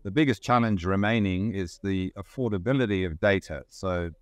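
A middle-aged man from Zimbabwe, a company CEO explaining his business in measured, steady answers as an interview guest. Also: low voice, no room sound.

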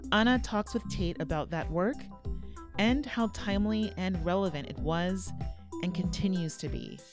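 A man reading a scripted podcast introduction in a measured way. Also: sits high. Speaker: podcast co-host and curator. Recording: music bed behind voice.

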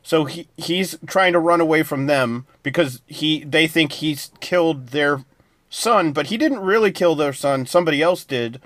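A man's voice: a little high, moderate pace, fairly bright tone, no room sound. A man co-hosting a casual TV review show, rambling through plot summaries with plenty of fillers. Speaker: podcast co-host.

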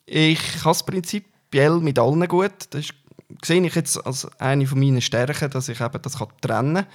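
A man's voice: fairly high pitch, moderate pace. Hesitant middle-aged Swiss German man. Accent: Swiss German, mildly Uri dialect. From Canton Uri, Switzerland. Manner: hesitant, frequent filler sounds. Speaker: political candidate. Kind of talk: interview answers.

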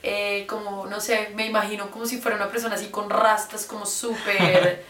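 A woman's voice: mid-range voice, flat delivery, moderate pace, crowd noise. A woman co-hosting a casual music review, hesitant and searching for words as she describes songs. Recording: roomy, distant mic with faint noise.

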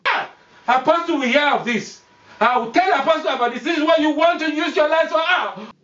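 A woman acts out a strict Nigerian mother, high-pitched and shrill, scolding and threatening. Her voice rings in a roomy space, with a dull, lo-fi sound. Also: brisk, a bit bright.

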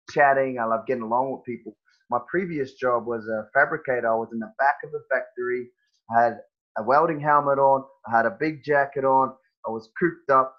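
A man, a guest on a podcast, talking in a halting, casual way with many fillers and restarts. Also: mid-range voice, dull audio. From New Zealand. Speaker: guest, personal trainer.

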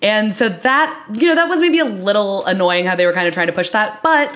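A woman's voice: medium pitch, lively intonation, a little fast, a bit bright. A woman co-hosting a podcast, talking through her opinions on television in a casual, conversational way. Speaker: podcast co-host. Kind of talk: casual TV review chat.

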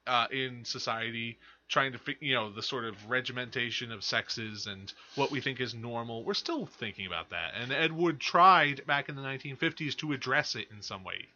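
A man's voice: mid-range voice, moderate pace, few pauses, bright, forceful tone, dull audio. A man co-hosting a film podcast with casual, conversational banter about movies.

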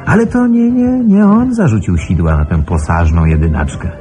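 An actor playing a genial, gossipy small-town gentleman in a radio play, chatty and expansive. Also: low voice, moderate pace, natural voice. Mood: amused, gossipy. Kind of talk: Polish radio play acting. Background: music.

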